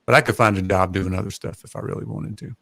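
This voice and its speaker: A man, chatting casually as a guest and pausing often with fillers. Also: on the low side, dry recording. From North Carolina. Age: 40s. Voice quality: soothing, gravelly. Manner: relaxed, hesitant, many fillers. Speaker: guest, high school math teacher. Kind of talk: casual livestream chat. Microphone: home podcast mic.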